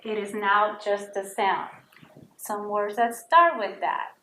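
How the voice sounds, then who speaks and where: Slow, encouraging Puerto Rican woman teacher. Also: medium pitch, roomy sound, poor audio. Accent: Puerto Rican Spanish. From Puerto Rico. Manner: models sounds loudly and slowly, asks students to repeat. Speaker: Spanish teacher. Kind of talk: slow, encouraging classroom lesson for children.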